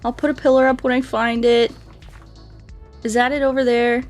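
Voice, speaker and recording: A woman's voice: a little high, little pitch movement, normal speed, darkish tone. A woman chatting casually as she livestreams a game, playful and self-deprecating, speaking expressively to her viewers. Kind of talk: casual gameplay chat, reading out viewer comments. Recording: music playing behind the voice. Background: game music.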